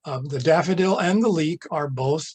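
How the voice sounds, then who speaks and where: A man's voice: fairly high pitch, normal speed, a little mellow, husky. A man giving a chatty, amused slide-show lecture, with asides and fillers as he goes.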